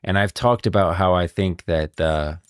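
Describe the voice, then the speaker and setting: Man, low voice, dry close sound, casual livestream commentary, informal, insistent, thinking aloud. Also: normal speed, clear, resonant tone, no echo. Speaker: streamer, game lore commentator.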